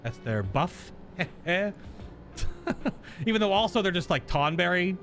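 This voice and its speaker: A man's voice: high voice, sing-song, slow, fairly bright tone, faint music behind. A man giving casual, informal reaction commentary as a game streamer, joking and swearing freely.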